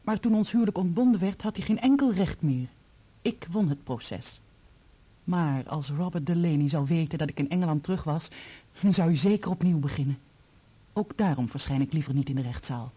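An actress in a Dutch radio play playing a composed, candid divorced woman who speaks in a measured, probing way. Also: low-pitched, animated, mellow, breathy edge. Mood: candid, reflective.

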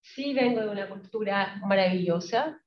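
A woman giving a public talk on poetry with readings, explaining in a halting way and often checking with short tag questions. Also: medium pitch. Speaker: lecturer presenting Palestinian poetry. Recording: roomy, distant, dull lo-fi pickup.

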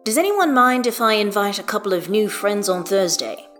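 A woman's voice: mid-range voice, normal speed, faint music behind, close to an everyday voice. A woman voicing a wry, long-suffering father, dry and a little exasperated, in an audio story. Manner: wry, exasperated, flatly sarcastic.

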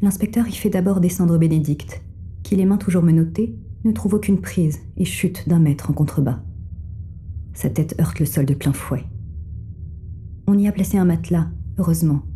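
A woman playing a cool, composed, controlling guide who narrates an audio drama in a measured, detached, formal manner. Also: lowish voice, mellow, slight background noise, close to an everyday voice.

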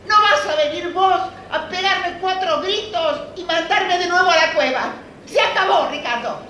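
An actress with an Argentine accent playing a defiant, indignant middle-aged housewife in a radio drama. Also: female voice, very high voice, bright, forceful tone. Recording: roomy echo, light background noise.

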